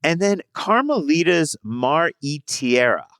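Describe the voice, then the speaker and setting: A man's voice: a little high, very animated, unhurried, a bit bright, no room sound, studio quality. Enthusiastic man guesting on a podcast. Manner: enthusiastic, rambling with fillers. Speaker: food writer guest. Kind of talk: casual interview chat.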